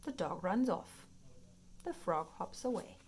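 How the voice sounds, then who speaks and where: A woman reading a children's nature book aloud in an expressive, playful storytime manner, paced for young listeners. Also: on the low side, unhurried, slight background noise. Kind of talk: read-aloud children's nonfiction storytime narration.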